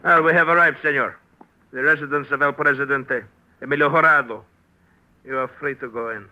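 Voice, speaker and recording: An actor playing a sly, menacing general in an old radio drama. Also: male voice, mid-range voice, steady tone, brisk, natural voice. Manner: smooth, veiled threat. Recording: muffled old radio broadcast with hiss.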